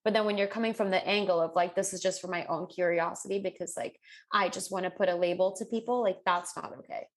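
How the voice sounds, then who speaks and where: A woman co-hosting a podcast in casual chat, animated and acting out quoted people with a raised voice. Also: on the low side, fast. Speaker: podcast co-host.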